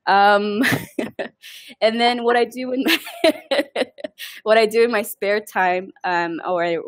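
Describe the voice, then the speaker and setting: A woman's voice: mid-range voice, takes it slowly, no room sound, studio quality. A woman casually introducing herself on an online call in a chatty, rambling way. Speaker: nonprofit team member.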